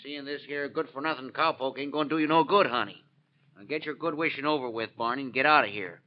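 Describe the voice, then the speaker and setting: An actor playing a pushy, scheming rodeo cowboy with folksy, bossy slang in 1940s radio drama style. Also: male voice, mid-range voice, fast, lightly acted. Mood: bossy, aggressive. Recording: muffled old radio recording with clear noise.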